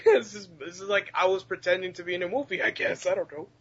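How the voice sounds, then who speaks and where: A young man who voices fan dubs, chatting casually, giggly, sweary and excitable. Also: high-pitched, quick pace, slightly rough. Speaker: fan dub voice actor. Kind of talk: casual podcast chat. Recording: dull lo-fi recording.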